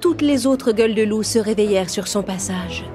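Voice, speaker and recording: Female storyteller, medium pitch, scripted children's fairy-tale narration, clear background music. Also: normal speed. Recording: music behind the voice.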